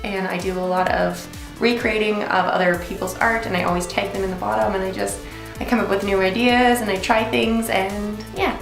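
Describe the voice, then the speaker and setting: Creaky-voiced young woman chatting makeup. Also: medium pitch. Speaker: makeup tutorial host. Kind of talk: casual chatty beauty tutorial. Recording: roomy echo, music behind. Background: music.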